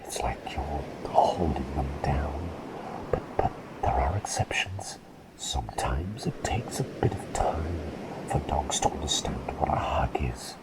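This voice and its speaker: A man's voice: rumbling low, lively intonation, measured pace, gravelly, rough recording. Whispering man, intimate eccentric ASMR roleplay. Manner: eccentric, rambling, repeats phrases, addresses listener intimately. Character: eccentric, chummy plague doctor whispering dog facts. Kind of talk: whispered ASMR roleplay, sharing facts with the listener.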